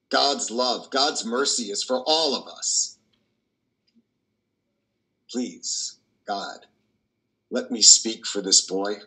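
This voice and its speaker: A man's voice: medium pitch, pushed and bright, rough recording. An older man playing a compassionate, anguished priest, earnest and pleading, in a stage play reading. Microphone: video call.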